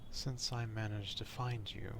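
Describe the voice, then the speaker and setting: A man playing an obsessive, old-fashioned vampire stalker in an ASMR roleplay, speaking formally in a hushed half-whisper, close to the microphone. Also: on the low side, steady tone, a little hiss or hum. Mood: obsessive, calm. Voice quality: hushed, breathy half-whisper with gravelly fry. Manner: slow, deliberate, formal archaic wording.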